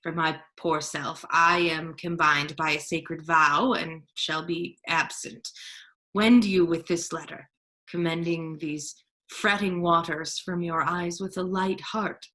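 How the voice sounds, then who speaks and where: Woman playing a commanding friar, bright forceful voice. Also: low-pitched, steady tone, takes it slowly, full character voice. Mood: instructing. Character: scheming duke disguised as a holy friar, male, authoritative. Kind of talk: staged reading of Shakespearean verse.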